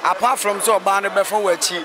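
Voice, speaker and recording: A man's voice: high voice, steady tone, quick pace. A man with a Ghanaian accent giving his opinions casually in a street interview. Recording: street recording with crowd and traffic.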